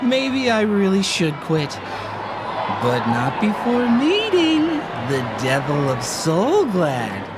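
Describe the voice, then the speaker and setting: An actress playing a tipsy, wistful drunkard who fancies herself a poet in a video game, languid and woozy. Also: female voice, medium pitch, wildly swooping pitch, slow, over a noisy crowd, very noisy. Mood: tipsy amusement. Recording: background music under the voice.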